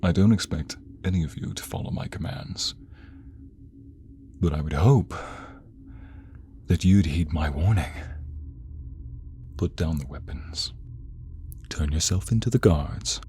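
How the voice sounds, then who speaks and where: A man's voice: a bass voice, a little slow, long pauses, warm tone, a little hiss or hum. A man playing a commanding, protective king in a dramatic audio role play, formal and regal in his way of talking. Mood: stern warning.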